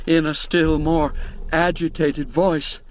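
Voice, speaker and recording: A man narrating a classic ghost story as a dramatic reading, measured and full of deliberate pauses. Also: on the high side, little pitch movement, a little hiss or hum.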